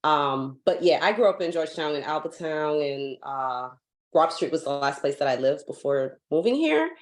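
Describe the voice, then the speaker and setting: A young woman from Guyana, a foundation founder, talking casually as a guest in a video-call interview, full of "like" fillers and lively while telling stories. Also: low-pitched, moderate pace. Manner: chatty, many "like" fillers, animated when telling stories. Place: home in Hawaii.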